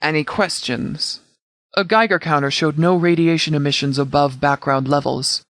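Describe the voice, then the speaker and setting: A stern sheriff of a post-apocalyptic militia, played by a male actor in scripted game dialogue. He speaks formally and with measured authority, his voice pitched a little high for a man. The sound is dry and close, with little room around it. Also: fairly even intonation, fairly bright tone, dry recording. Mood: businesslike. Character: stern, commanding sheriff leading a wasteland militia.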